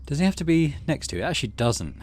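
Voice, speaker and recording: Animated middle-aged man narrating a game. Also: fairly high pitch, rapid-fire. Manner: informal, thinking aloud. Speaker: gaming channel host. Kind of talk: let's play narration, reading game text aloud.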